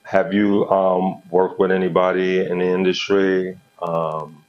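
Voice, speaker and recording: A man's voice: low voice, level tone, a little slow. A man hosting a casual interview show, laid-back and encouraging, often answering with a short "right". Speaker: podcast host. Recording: dull lo-fi audio.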